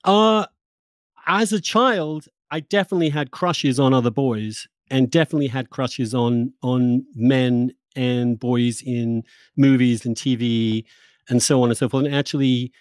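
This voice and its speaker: A man's voice: medium pitch, no echo, clean studio sound. A man hosting a conversational interview, candid and informal, often repeating words as he forms his thoughts. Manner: halting, repeats words while forming questions. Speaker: podcast host, interviewer. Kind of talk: conversational long-form interview.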